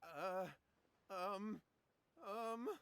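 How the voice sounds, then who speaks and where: A man's voice: sits high, extremely slow, a bit bright, clean tone, no room sound, exaggerated voice. A man playing a nervous, evasive young man in a video game, stammering and flustered.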